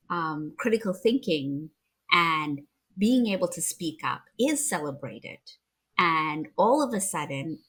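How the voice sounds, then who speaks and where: A woman's voice: fairly low pitch, fairly bright tone, breathy edge. A woman from Zimbabwe hosting a conversational interview, reflective and affirming, with frequent small restarts. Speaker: podcast host.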